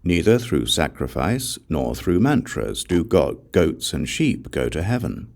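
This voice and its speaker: A man playing a defiant outcast king in a scripture audiobook. The voice is low and gravelly, swinging widely in pitch through emphatic, rhetorical challenges to pride. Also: sing-song, measured pace, darkish tone, exaggerated voice. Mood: indignant reasoning. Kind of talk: dramatic audiobook reading of scripture.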